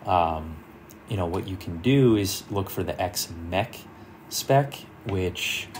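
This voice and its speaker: A man presenting an informal screen-share software tutorial, explaining things and thinking aloud as he works. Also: deep voice, lively intonation, slow, a little hiss or hum. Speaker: car audio tutorial presenter.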